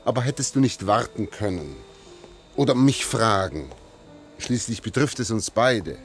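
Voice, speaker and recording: An actor playing a weary, stubborn husband in a German science-fiction radio drama, emphatic and irritable. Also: male voice, lowish voice, expressive, a little slow, soft background music. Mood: resigned, bitter. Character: weary, resentful husband and office worker, stubbornly refusing. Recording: noisy vintage radio play recording.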